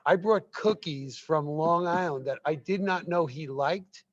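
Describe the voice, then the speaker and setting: A man's voice: on the high side, measured pace. Man with a strained, tired voice. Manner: friendly, effusive. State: losing his voice. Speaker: guest. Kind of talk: casual conversation. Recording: dull, muffled livestream audio.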